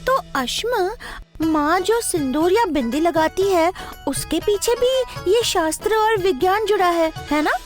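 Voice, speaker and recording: A woman's voice: very high-pitched, animated, a little slow, faint music behind. A woman voicing a curious, eager little schoolgirl in an educational cartoon, wide-eyed and inquisitive. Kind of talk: animated educational cartoon dialogue.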